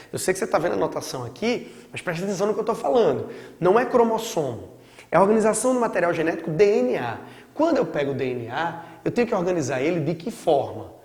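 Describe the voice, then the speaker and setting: A hoarse man with a Brazilian Portuguese accent talks through a biology lesson in a loose, chatty way, often tagging his points with quick check-ins. His voice sits a little high for a man. It rings around a room with long, echoing reverb. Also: darkish tone. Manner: chatty, with frequent check-in tags. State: hoarse from a sore throat. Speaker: biology teacher. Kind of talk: casual recorded lecture.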